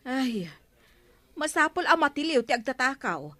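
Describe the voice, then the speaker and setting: A woman's voice: sits high, sing-song, moderate pace, bright, forceful tone, no room sound, heavily acted. A woman with an Ilocano accent playing a complaining village laundrywoman in a comic radio drama. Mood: fretful.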